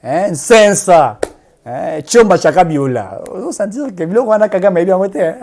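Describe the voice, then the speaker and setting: A man's voice: high-pitched, very animated, takes it slowly, vocal fry, darkish tone. A man with a Congolese accent speaking as a political activist in an interview, emphatic and impassioned, repeating points and switching between two languages.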